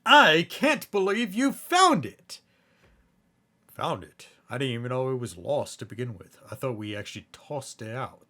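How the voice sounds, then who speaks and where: A man's voice: medium pitch, very animated, measured pace, bright, forceful tone. A man playing a chatty, fussy hellhound brother in skit banter, explaining and coaxing in a hesitant way.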